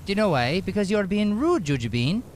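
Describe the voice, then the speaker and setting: A man's voice: sits high, sing-song, fairly quick, a little hiss or hum. A man playing a flustered, scolding Irish schoolteacher in improvised radio comedy, repeating himself and tripping over his words. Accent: Irish, put on. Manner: stammering, repetitive, exasperated. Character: flustered, scolding male schoolteacher, defensive and obsequious.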